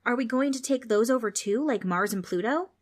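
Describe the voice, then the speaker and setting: Curious young boy, voiced by a woman narrator; high, eager questioning tone. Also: fairly quick, lightly acted. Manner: eager, wide-eyed questioning.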